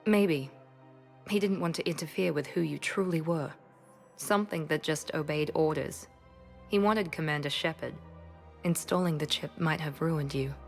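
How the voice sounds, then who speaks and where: An actress playing a proud, guarded operative in a video game, with a controlled, earnest delivery. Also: female voice, low voice, moderate pace, vocal fry, soft background music. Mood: confessional. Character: guarded, proud female operative with a troubled past.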